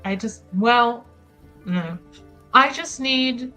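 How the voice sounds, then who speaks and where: A woman's voice: on the high side, extremely slow, bright, forceful tone, soft background music, rough recording. A woman playing a tabletop roleplaying game, improvising casual in-character dialogue, hesitant and repeating her phrases.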